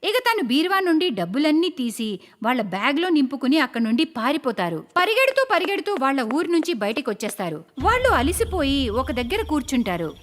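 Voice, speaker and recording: A woman's voice: high-pitched, sing-song, fairly quick. A woman narrating a scripted moral story for a cartoon. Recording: clear background noise.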